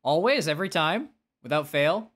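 A man's voice: a little high, lively intonation, normal speed, a bit bright. A man with a nasal voice, talking casually and expressively as he livestreams a game and answers chat, often self-deprecating.

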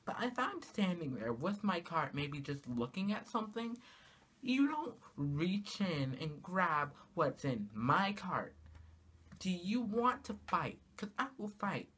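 A teenage girl vlogging from her small bedroom, talking casually to the camera and ranting in an exasperated way, her pitch jumping up on rhetorical questions. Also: very animated, slow pace, a bit bright, breathy edge. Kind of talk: casual comedic rant to camera. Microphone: camera in front of her, at arm's length.

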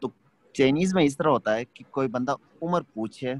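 A man teaching an online language class, explaining slowly and checking that students follow. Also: medium pitch, animated. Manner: patient, explains slowly, checks understanding often. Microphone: video call.

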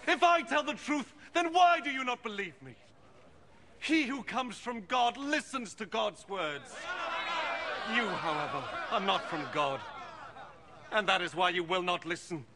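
A man's voice: high voice, animated, a little slow. An actor in his thirties playing an impassioned young preacher confronting doubters in a film drama, declamatory and earnest. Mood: impassioned, accusing. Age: 30s. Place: open stone courtyard. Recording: noisy outdoor crowd ambience.

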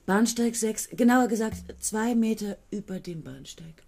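A dreamy, evasive young student in a radio play. Her voice is mellow and husky, and she answers quietly, at times dropping to a whisper. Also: mid-range voice, unhurried. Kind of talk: 1970s radio play acting.

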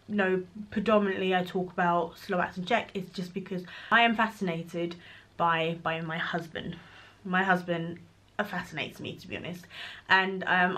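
A woman's voice: medium pitch, fairly bright tone. A woman, answering viewer questions in a casual vlog, frank and chatty, filmed close up in a small room at home. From Congo. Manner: chatty and frank, talking straight to camera. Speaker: vlogger. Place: bedroom nursery, indoors. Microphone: camera at close range.

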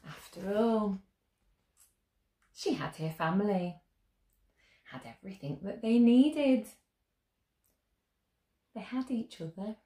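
A young woman reading a picture book aloud to children as a storyteller, expressively, with gentle questions to her listeners. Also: mid-range voice, lively intonation, slow, long pauses. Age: young adult. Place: small room at home, indoors. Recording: roomy indoor echo.

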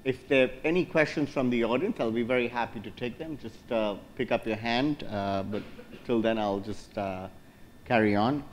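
Middle-aged moderator, quick jokey questions, mid pitch, lapel mic on a conference stage. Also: male voice, slight background noise. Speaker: panel moderator. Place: large conference hall stage.

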